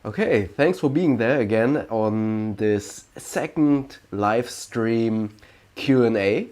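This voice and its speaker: A man in his late thirties from Germany with a German accent, hosting a casual question-and-answer livestream, pausing often with ums and restarting sentences. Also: medium pitch, expressive, a little mellow. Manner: slow, hesitant, many ums and restarts. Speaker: livestream host.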